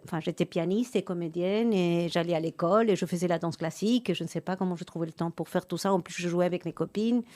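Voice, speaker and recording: Argentine-born pianist and actress, middle-aged, halting and chatty, warm lowish tone. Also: female voice. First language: Spanish. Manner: hesitant, many restarts and fillers. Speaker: pianist and actress, interview guest. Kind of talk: relaxed conversational interview.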